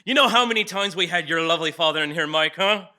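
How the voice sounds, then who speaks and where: Impatient, badgering male detective read by a man, sharp tone, a little higher-pitched, audio drama table read. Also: quick pace. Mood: aggressive. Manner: sarcastic, swearing, badgering. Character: aggressive, impatient, foul-mouthed male police detective.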